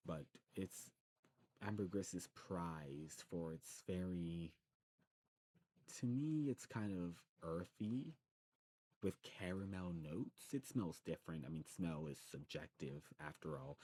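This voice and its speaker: A man's voice: low voice, darkish tone, a little hiss or hum. A man doing an ASMR roleplay as a friendly, knowledgeable shopkeeper, chatting informally in a rambling, self-correcting way. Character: friendly demon snuff shop keeper, knowledgeable and enthusiastic. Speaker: ASMR performer playing a snuff shopkeeper. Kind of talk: ASMR shop roleplay, casual recommending chat.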